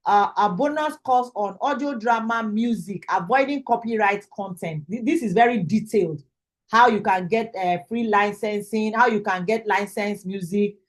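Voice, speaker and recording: A woman with a Nigerian accent presenting as a course instructor and host on a video call. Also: medium pitch. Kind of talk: online presentation.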